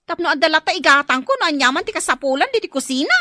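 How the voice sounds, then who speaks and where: A woman's voice: high-pitched, very animated, fast, pushed and bright, no echo, studio quality, heavily acted. A woman with an Ilocano accent playing a long-suffering young wife and mother in a radio drama. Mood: exasperated. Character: long-suffering poor young wife and mother, scolding her idle husband. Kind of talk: Filipino radio drama acting.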